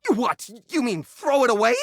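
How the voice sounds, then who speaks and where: A nervous, sentimental hoarder of a living junk drawer for a video game. He is theatrical and easily flustered, stammering as his pitch swings widely. The sound is dry and close. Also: sing-song, brisk, a bit bright, dry recording, heavily acted. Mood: indignant, defensive. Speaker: video game character voice actor. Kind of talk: animated video game character acting.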